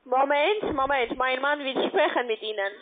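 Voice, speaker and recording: A woman with a foreign accent, a non-native speaker, talks haltingly as a call-centre caller. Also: high voice, a little hiss or hum. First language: not German. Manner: halting, limited German. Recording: tinny, distant phone line.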